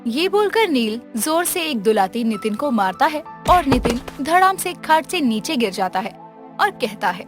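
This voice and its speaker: A woman's voice: sits high, sing-song, moderate pace, soft background music. A woman narrating a scripted village story in a dramatic storytelling style.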